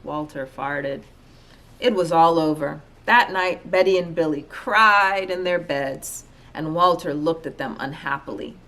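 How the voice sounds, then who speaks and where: A woman with a slightly low voice reads a children's storybook aloud. Her narration is expressive, shaped for young listeners. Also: a little slow. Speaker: storybook reader.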